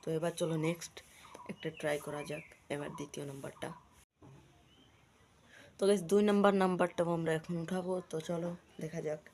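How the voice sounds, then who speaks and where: A man's voice: on the high side, steady tone, normal speed, pauses often, darkish tone, a little hiss or hum. A man with a Bangladeshi accent chatting informally to viewers as a video host, with repetitive running commentary. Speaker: prank-call video host. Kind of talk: casual vlog chat to viewers.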